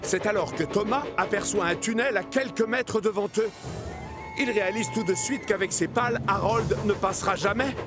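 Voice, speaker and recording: High-pitched male storybook narrator over music. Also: normal speed. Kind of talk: children's cartoon storytelling narration.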